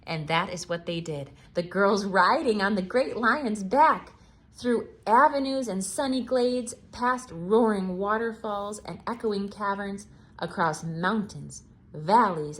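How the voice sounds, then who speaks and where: A woman giving a theatrical read-aloud of a children's storybook, expressive and full of dramatic pauses. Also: mid-range voice.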